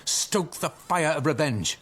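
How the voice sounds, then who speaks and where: An actor playing a zealous, vengeful Elizabethan courtier, theatrical and rhetorical in a period radio drama. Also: male voice, fairly high pitch, lively intonation, slow pace, fairly bright tone, breathy edge, full character voice. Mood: urgent, insistent.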